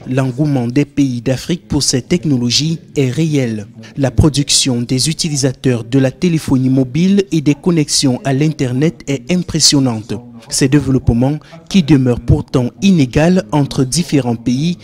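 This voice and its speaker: A man reading formal, scripted documentary narration in an even, measured way. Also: medium pitch.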